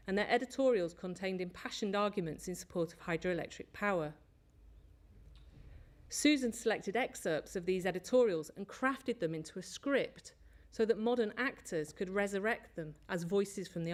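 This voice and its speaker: Woman giving an academic conference talk. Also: mid-range voice. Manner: measured, reads from prepared notes with small slips. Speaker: conference speaker, lecturer. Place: lecture hall.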